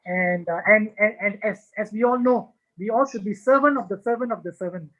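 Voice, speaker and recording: A man's voice: high voice, a bit bright. A man with a Singaporean accent answering questions after a talk, often restarting with repeated "and, and". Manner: halting, many repeated "and, and" restarts. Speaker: guest speaker answering questions. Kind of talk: Q&A after a lecture. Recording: muffled lo-fi video call audio.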